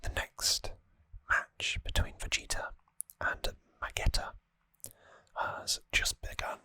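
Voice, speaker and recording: Close, bright ASMR whisper. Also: slow pace. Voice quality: whispered, breathy, unvoiced. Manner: hushed, close, conversational retelling. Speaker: ASMR host. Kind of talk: ASMR, whispered recap of an anime storyline. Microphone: close, dry microphone.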